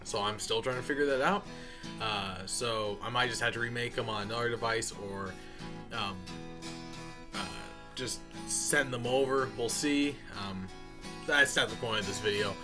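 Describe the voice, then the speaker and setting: A man's voice: medium pitch, moderate pace, over music. A man giving a casual, unscripted update as a channel host, talking informally in a folksy way and addressing viewers as y'all.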